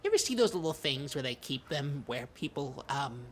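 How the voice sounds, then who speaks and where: Someone playing an insecure oddball comedy podcast sidekick, halting and rambly, bright voice, some noise behind. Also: medium pitch, sing-song. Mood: earnest, rambling. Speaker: podcast co-host. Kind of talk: improvised comedy podcast banter.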